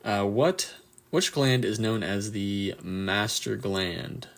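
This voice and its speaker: A man with a croaky, just-woken-up voice runs a casual live quiz for anatomy students, reading answer options and cheering on viewers' replies. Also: on the low side, slow, fairly bright tone. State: just woke up, a bit tired. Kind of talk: casual livestream quiz review.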